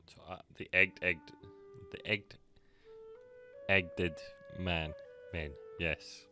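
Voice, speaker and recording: Male streamer, low but crisp-edged voice, stumbling casual banter with viewers over soft game music. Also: fairly even intonation. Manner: halting, stammering, playful chat banter. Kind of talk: casual live-stream commentary.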